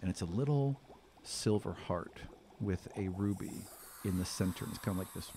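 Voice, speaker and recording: A man in his thirties with a fairly low voice narrates as game master. He improvises scene description close to a studio microphone. Faint noise sits underneath. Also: moderate pace, warm tone. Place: small studio room indoors. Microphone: microphone on stand, close.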